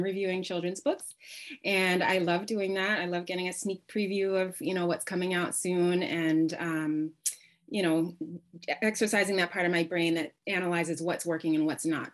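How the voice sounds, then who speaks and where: A woman writer answering a panel question on a video call, with laughing asides. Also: fairly low pitch. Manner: warm-humored, laughing asides. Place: home room with bookshelves. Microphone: laptop webcam mic.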